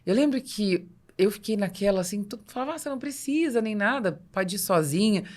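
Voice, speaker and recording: A Brazilian woman hosting a podcast interview, telling personal anecdotes in an animated way and acting out dialogue. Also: mid-range voice, fast talker. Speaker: podcast host and business coach.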